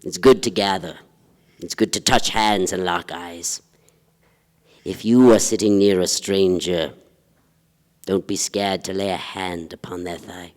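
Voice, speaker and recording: A gloomy theatrical poet detective, florid and pompous, lots of hall reverb on stage. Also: male voice, low voice, steady tone, unhurried, pauses often, darkish tone. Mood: grandiose, intimate. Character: melancholy, pompous male poet and part-time detective. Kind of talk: staged play reading.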